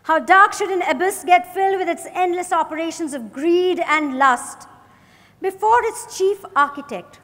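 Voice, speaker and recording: A woman's voice: very high voice, moderate pace, fairly bright tone. A woman acting a probing, accusatory female detective in a theatrical dramatized stage reading. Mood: accusing, forceful. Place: large hotel ballroom stage. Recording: echoey hall, picked up from the audience.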